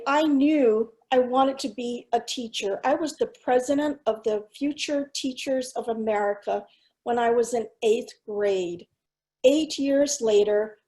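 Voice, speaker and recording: Earnest, measured middle-aged woman speaker. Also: on the high side, dark tone, poor audio. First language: Cantonese. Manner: measured, earnest, pauses with fillers. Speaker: school superintendent giving a keynote. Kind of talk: presentation with slides.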